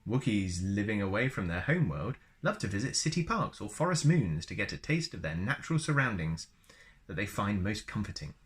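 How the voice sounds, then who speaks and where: A man's voice: on the low side, very animated, brisk, fairly bright tone. A man reading a book aloud as a storyteller, chatty and often slipping into casual asides. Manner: chatty, wanders into personal asides.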